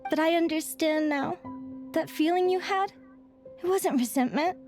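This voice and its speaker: A high, youthful female adventurer speaks over game music. She sounds sincere, caring and upbeat, like a gentle heroine in a video game. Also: moderate pace. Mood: tender, relieved. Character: sweet, earnest young woman adventurer, caring and upbeat.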